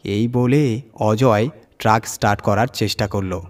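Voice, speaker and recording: A man's voice: lowish voice, steady tone, measured pace. A man narrating a scripted horror story as its reader.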